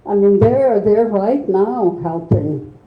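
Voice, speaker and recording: An older woman whose first language is Low German, speaking slowly and earnestly as the guest author at a bookstore event. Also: roomy sound, narrow, tinny audio, soft background music. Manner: slow, earnest, soft-spoken. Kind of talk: reflective conversation at a book event.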